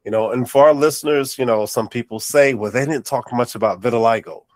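Man, podcast host, nasal and congested, affirming, dry close mic, mid-pitched. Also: fairly quick, no room sound. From North Carolina. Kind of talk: casual interview chat.

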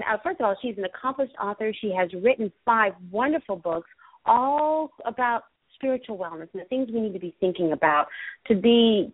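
A woman's voice: medium pitch, no room sound. Bubbly woman hosting over a phone line. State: slightly hoarse, recovering from a virus. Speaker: host. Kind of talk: live internet radio talk show. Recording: tinny phone-line sound.

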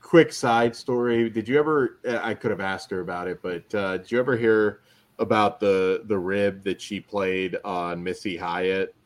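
Male podcast co-host, low voice, informal review banter, chuckly storyteller. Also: fairly even intonation, moderate pace. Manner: chuckles through anecdotes. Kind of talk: casual wrestling review chat.

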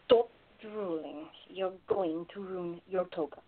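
An actress playing a wry, protective woman in love in a radio play, husky and mock-grumbling. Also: on the low side, takes it slowly. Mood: dry, teasing. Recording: narrow phone-like sound.

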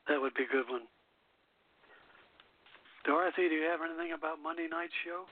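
Higher-voiced male radio host, phone-like sound. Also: fairly even intonation, brisk. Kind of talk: casual hosting and sign-off. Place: call-in internet radio show over phone. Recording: tinny band-limited phone line.

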